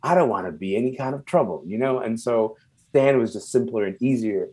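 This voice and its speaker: A man from Sudan who moved to Canada young and is not a native English speaker, speaking reflectively and haltingly as an interview guest, searching for words. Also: medium pitch, expressive, darkish tone, husky. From born and raised in Sudan, moved to Canada at twelve. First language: non-English first language.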